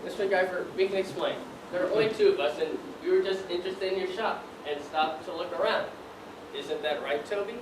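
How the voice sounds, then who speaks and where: Young guy acting a high-pitched bossy schemer. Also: brisk, natural voice. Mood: sheepish. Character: bossy, nervous male schemer caught sneaking. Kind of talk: amateur stage acting, one-act school play. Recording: echoey stage, distant mic, clear noise.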